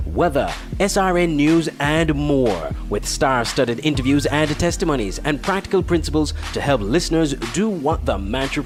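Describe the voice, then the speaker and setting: A man announcer reading a scripted promotional radio spot. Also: medium pitch. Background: music.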